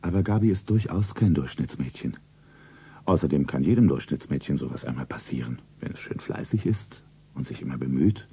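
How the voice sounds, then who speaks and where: Wealthy suave male lover: secretive, solemn, low and very mellow, 1970s radio drama. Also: expressive, creaky, slightly rough, full character voice. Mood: detached narrating. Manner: deliberate, solemn, self-narrating. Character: Markus, rich secretive suave lover of a shopgirl. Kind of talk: stylised 1970s German radio play acting.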